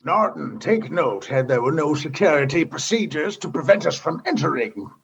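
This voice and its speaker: A man's voice: a little high, expressive, normal speed, gravelly. A man playing a stern, critical commodore in a comedy sci-fi radio play, with an officious, commanding delivery. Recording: dull recording with faint noise.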